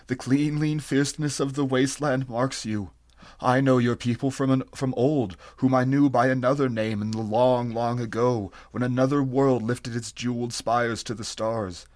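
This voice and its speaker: A man's voice: mid-range voice, steady tone, normal speed, a little mellow, close to an everyday voice. Man voicing an ancient, sorrowful alien creature, solemn and grave. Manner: grave archaic speech, chanting.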